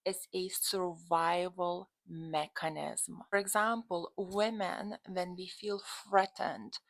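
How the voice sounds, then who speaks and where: A woman's voice: lowish voice, fairly even intonation, takes it slowly, creaky, no room sound. A woman from Lithuania with a Lithuanian accent, talking casually to camera as a coach in an emphatic, conversational way. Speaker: dating and relationship coach, channel host.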